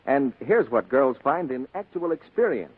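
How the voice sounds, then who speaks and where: A man with a husky voice making a 1940s radio advertising pitch as announcer, on a tinny vintage broadcast. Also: lively intonation, moderate pace.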